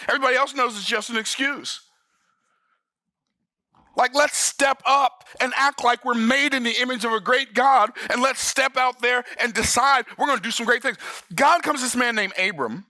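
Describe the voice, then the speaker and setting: A man's voice: very high voice, sharp tone, no echo. A man in his sixties teaching the Bible in an emphatic, folksy preaching manner. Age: 60s. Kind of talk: sermon-style Bible study.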